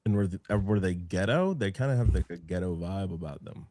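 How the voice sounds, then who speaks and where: A man co-hosting a podcast, talking in a deadpan, mumbling way as he teases his friends in casual banter. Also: low-pitched, brisk.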